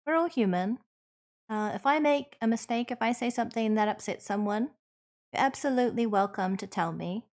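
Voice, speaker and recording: A woman livestream host with a New Zealand accent, talking to her chat in an earnest, measured and thoughtful conversational way. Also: fairly high pitch, darkish tone. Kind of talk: conversational livestream Q&A, partly reading chat aloud.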